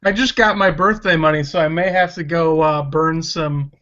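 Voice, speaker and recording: A man's voice: fairly high pitch, steady tone, normal speed, pushed and bright. A man of thirty-two hosting a casual podcast, speaking with enthusiasm and frequent restarts. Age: 30s. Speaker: podcast host. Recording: dull lo-fi sound.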